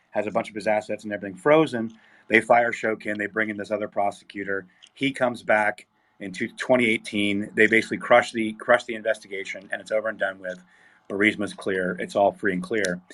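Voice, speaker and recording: A man hosting a live audio chat room, his voice weak and hoarse after being sick, talking haltingly with fillers and restarts. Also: lowish voice, a bit bright. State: voice weak, recovering from illness.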